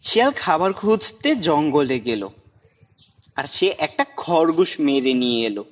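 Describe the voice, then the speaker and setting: A storyteller narrates a children's animal fable from a script. The voice sits in a middle register and moves up and down with very lively intonation. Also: medium pitch, normal speed.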